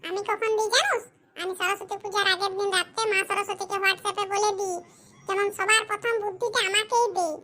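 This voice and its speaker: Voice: extremely high pitch, fairly even intonation, fairly quick, bright, forceful tone. A performer voicing a cheeky, boastful schoolchild in a comedy cartoon, talking in a cocky, argumentative, colloquial way. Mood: smug, boastful. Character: cheeky, boastful Bengali schoolchild, dim but sly.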